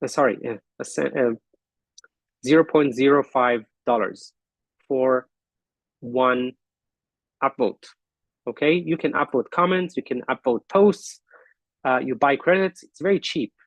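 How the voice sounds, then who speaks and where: A man hosting an online business forum, talking casually and haltingly while he walks through his shared screen on a video call. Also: mid-range voice, no room sound, poor audio. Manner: halting, thinking aloud with fillers and restarts.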